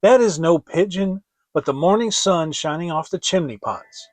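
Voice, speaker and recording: A coldly bossy storybook stepmother, acted out by a man, scolding and reproachful. The voice sits fairly high and snaps out orders impatiently in a dry, close recording. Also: normal speed, no echo, lightly acted. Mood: scornful.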